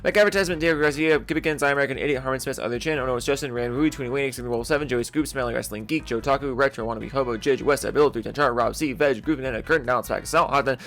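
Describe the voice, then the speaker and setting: A man's voice: medium pitch, rapid-fire, hardly any pauses, fairly bright tone. A man who makes videos, talking in a blunt, sweary, sarcastic way. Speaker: video creator. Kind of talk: opinionated rant and reading out supporter names.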